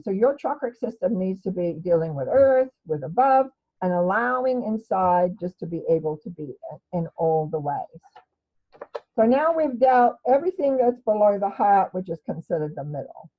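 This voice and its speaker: An older woman teaching an energy-healing lesson to her webcam from her living room, talking loudly and emotionally with frequent restarts. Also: medium pitch, animated, moderate pace. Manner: loud, warm, emotional, with many restarts and fillers. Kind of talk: casual spoken lesson to webcam. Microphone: webcam at desk. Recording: slightly dull lo-fi webcam audio.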